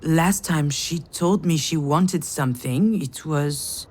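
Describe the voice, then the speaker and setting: An actress playing a bitter, overwhelmed daughter in a visual novel, with a sardonic, dramatic delivery. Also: female voice, deep voice, takes it slowly, darkish tone. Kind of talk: visual novel voice acting with inner monologue.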